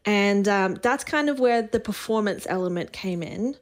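A woman in her thirties with an Australian accent touched by a soft Irish lilt, chatting brightly as a songwriter guest on a radio show and laughing often. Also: mid-range voice, expressive, moderate pace. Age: 30s. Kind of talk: casual radio interview. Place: remote call from Nashville.

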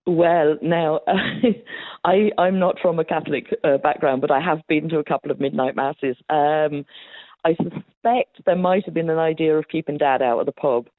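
A woman's voice: low-pitched, fairly even intonation, normal speed, no echo. Woman historian on a tinny line. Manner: chatty storyteller, laughs often, frequent fillers. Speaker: historian guest. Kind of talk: casual interview answers.

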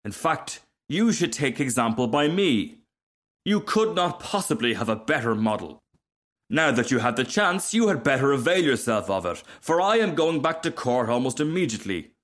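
A vain, self-absorbed firework acted out by a man reading a storybook. The delivery is haughty and easily affronted, with pitch that rises and swings widely over formal, self-important phrasing. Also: big pitch swings, moderate pace, close to an everyday voice. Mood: smug, lecturing.

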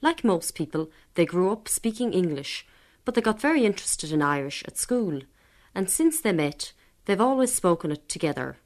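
A woman narrating a radio documentary with a clear, scripted, read-aloud delivery. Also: lowish voice, big pitch swings, normal speed.

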